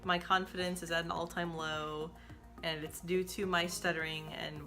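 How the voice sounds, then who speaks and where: A woman's voice: lowish voice, flat delivery, normal speed, pushed and bright, faint music behind. A young woman from the United States who stutters, talking casually to camera about her own experience, with frequent repeated sounds and restarts.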